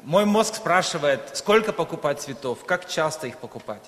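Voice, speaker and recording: A man's voice: a little high, expressive, normal speed, a bit bright. A man from Ukraine, a native Russian speaker, preaching in short phrases that leave room for an interpreter. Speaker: guest preacher. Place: church hall. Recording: echoey hall with long reverb.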